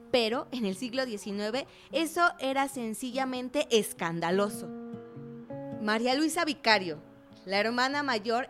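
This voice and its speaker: A woman's voice: fairly high pitch, lightly acted. A woman acting in a radio drama as a chatty storyteller who explains family history in a conversational way.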